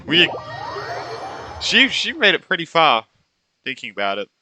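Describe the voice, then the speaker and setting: A woman's voice: sits low, huge pitch swings, unhurried. A woman reacting casually as she plays through a video game as a streamer. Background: game music.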